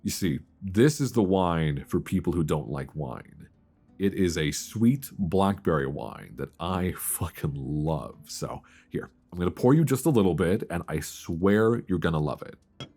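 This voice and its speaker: A man's voice: sits low, lively intonation, a little slow, mellow, husky, heavily acted. A man playing a playful, easily flustered old friend in an intimate, conversational romantic audio roleplay, teasing and stammering as he chats. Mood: casual, easygoing. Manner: teasing, stammering, chuckling banter.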